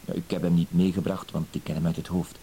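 An actor playing a timid, dutiful husband with wounded dignity, hesitant, wordy and apologetic, in a radio play. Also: male voice, low voice, little pitch movement, brisk, dark tone. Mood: earnest, confiding. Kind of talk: Dutch-language radio play acting. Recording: hissy old radio play recording.

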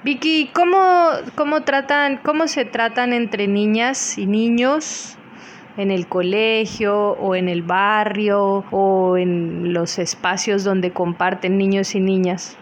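A woman's voice: medium pitch, fairly even intonation, slow, a little hiss or hum. A woman conducting a friendly, conversational radio interview. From Colombia.

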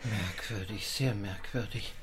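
A woman playing a composed, wistful lady of the house in a German radio drama, speaking slowly and deliberately. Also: extremely low pitch, music in the background. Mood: concerned.

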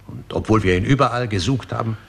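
Manservant, 1960s radio crime drama: very low, creaky voice, courteous and deferential. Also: male voice, slight background noise. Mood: deferential, measured. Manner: polite, measured, formal. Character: formal, deferential manservant giving evidence to police.

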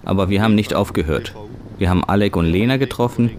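A man with a low voice reads a translation aloud, steady and measured. He keeps an even, unhurried tone throughout. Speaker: voice-over interpreter. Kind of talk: reading a German translation.

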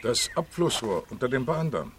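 An actor playing an uneasy, dutiful steelworker in a radio play, speaking in short, urgent phrases. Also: male voice, medium pitch, sing-song, moderate pace, noisy background, lightly acted.

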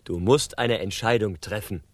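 A man's voice: fairly low pitch, normal speed, dry recording, lightly acted. A male actor playing a simple, good-natured, easily flustered man in a children's radio drama, often groping for words. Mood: earnest, hesitant.

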